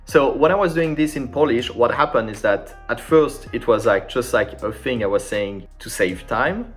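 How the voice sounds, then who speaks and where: A man at an ordinary middle pitch explains French conversation tips in English, his second language. He talks casually and with energy, and a little guitar music plays faintly underneath. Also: moderate pace. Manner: animated and informal, acting out sample dialogues.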